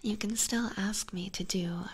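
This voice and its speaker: Woman, breathy whisper, slow pace, casual ASMR stream chat, close binaural mic, faint background noise. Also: medium pitch, steady tone. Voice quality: breathy, mostly unvoiced whisper. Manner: informal, chatty, addressing viewers directly. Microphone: close binaural ASMR microphone.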